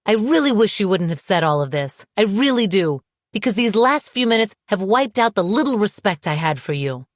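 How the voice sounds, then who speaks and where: A woman's voice: medium pitch, very animated, moderate pace, dry recording, pitched above the performer's own voice, close to an everyday voice. A wounded, indignant young woman from an audiobook romance, acted by a woman narrator. She speaks with emphatic, charged emotion. The recording is close and dry. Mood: bitter, furious.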